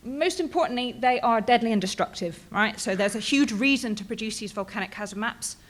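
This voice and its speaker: A woman's voice: fairly high pitch, a little fast. A woman in her thirties with a British accent, presenting her research at a conference in a casual, chatty way with frequent ums and "right?" tags. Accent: British English. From England, Bristol.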